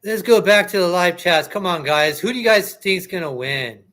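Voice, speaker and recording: High, bright-voiced man chatting on a livestream. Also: moderate pace. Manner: chatty, talks straight to his viewers. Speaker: livestream host. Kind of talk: casual livestream chat about boxing.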